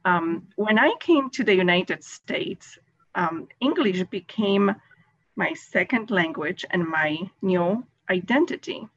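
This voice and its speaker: A middle-aged woman with a Polish accent speaks on a video call in an unhurried, reflective way and pauses with fillers. Her voice is a little husky, and the call audio sounds muffled and lo-fi. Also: on the low side, lively intonation, a bit bright. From Poland. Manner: thoughtful, hesitant with fillers. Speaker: caller. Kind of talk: casual chat.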